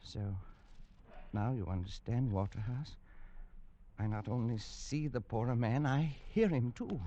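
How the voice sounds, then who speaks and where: A man's voice: mid-range voice, unhurried, highs cut off, slight background noise, lightly acted. An actor playing a feverish man tormented by a vision, anxious and breaking into frantic cries, in 1940s radio drama style. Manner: anxious, swinging into frantic shouting. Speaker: lead character.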